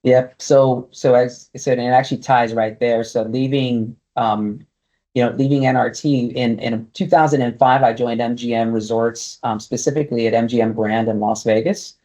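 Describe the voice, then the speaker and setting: A man's voice: medium pitch, fairly even intonation, normal speed, mellow. A man in his forties, a native Spanish speaker, answering interview questions thoughtfully with many fillers and restarts. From United States (Brooklyn, New York), raised partly in Guatemala. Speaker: casino executive, guest.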